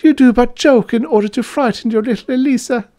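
A man's voice: extremely high pitch, a little fast, warm tone, voice pushed up. A man voicing a lovelorn young maiden in a storybook, pleading in an overwrought, melodramatic way.

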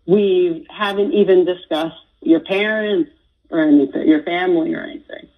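A woman's voice: fairly low pitch, moderate pace. A woman confronting her partner in conversational speech over a phone call. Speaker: caller confronting her boyfriend on radio. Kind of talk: confrontational relationship talk. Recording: slightly dull phone-call sound.

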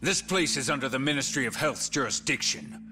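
A man's voice: medium pitch, lively intonation, fairly quick, close to an everyday voice. A man playing an imperious middle-aged government vice minister in a video game drama, pompous, formal and emphatic. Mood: indignant. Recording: music under the dialogue.

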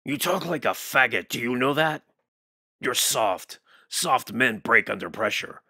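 A man's voice: medium pitch, expressive, unhurried, pushed and bright, husky, no room sound, clean studio sound, heavily acted. A man voicing a menacing, domineering old cartel boss who barks orders in a motion comic. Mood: menacing, bullying. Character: menacing, foul-mouthed old cartel boss, contemptuous bully. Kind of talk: motion comic character acting.

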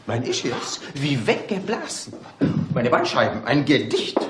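Gushing, cheerful police inspector. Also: male voice, medium pitch, big pitch swings, unhurried. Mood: blissful, gushing. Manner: gushing, rhapsodic. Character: cheerful, effusive male police inspector, hypochondriac. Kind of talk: German radio play acting. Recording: clearly noisy background.

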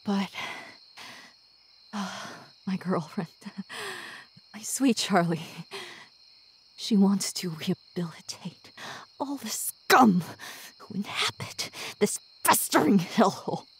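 A voice actress playing a sadistic, possessive female demon lover in an intimate ASMR roleplay, breathy and lingering, often dropping into a whisper, swinging between coaxing and menace. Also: medium pitch, sing-song, takes it slowly, pauses often, exaggerated voice. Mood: strained, unsettled.

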